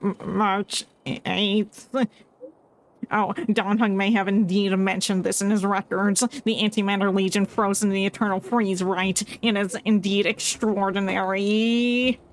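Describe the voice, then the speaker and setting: Slow-talking woman streaming a game. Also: mid-range voice, little pitch movement, low-quality recording. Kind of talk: casual livestream commentary and reading game text aloud. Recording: game music faintly behind the voice.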